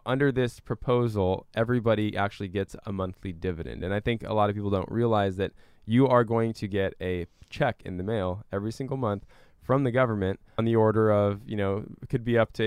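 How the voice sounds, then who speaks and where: A man's voice: on the low side, a little mellow, clean tone, no echo, clean studio sound. A man explaining things conversationally as a guest expert in a radio interview. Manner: explanatory, with everyday examples. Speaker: interview guest, climate researcher and activist.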